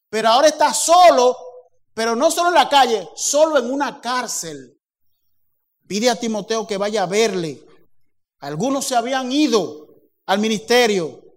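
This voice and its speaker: Male preacher, high pitch, animated sermon delivery with acted-out dialogue. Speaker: pastor.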